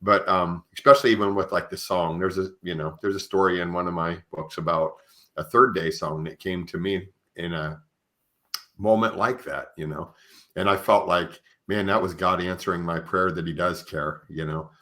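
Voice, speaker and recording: A man with a very low yet bright, forceful voice hosts a podcast. He talks in an informal, conversational way, often adding "you know" to his phrases. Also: fairly even intonation, moderate pace. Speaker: podcast host. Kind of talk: conversational interview.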